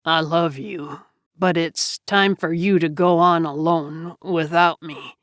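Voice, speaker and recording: A woman voicing a gentle dying man in an audiobook, speaking weakly and haltingly with tender, loving strain. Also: low-pitched, steady tone, no room sound, studio quality. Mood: tender, fading. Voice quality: weak, strained. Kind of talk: dramatic audiobook character acting.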